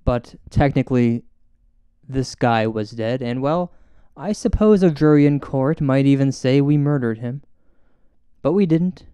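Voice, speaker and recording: A man hosting and narrating a scripted comic horror story in a deadpan, mock-solemn way. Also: medium pitch, a little slow, clear, resonant tone, no echo. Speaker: host and storyteller. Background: music.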